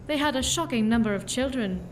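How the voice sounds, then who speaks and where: A woman's voice: on the high side, animated, fairly quick, recorded from a distance, slight background noise, close to an everyday voice, low-quality recording. A woman as a teasing sailor's daughter.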